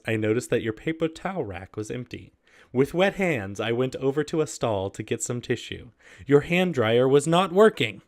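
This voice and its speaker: A man casually hosting a video and reading humorous letters aloud, easily amused and prone to giggling. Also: medium pitch. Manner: giggly, often breaking into laughter while reading.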